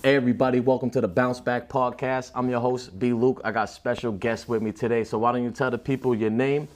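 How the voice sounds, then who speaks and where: A man's voice: mid-range voice, level tone, fairly quick. A man hosting a podcast, asking questions in a casual conversational way. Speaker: podcast host.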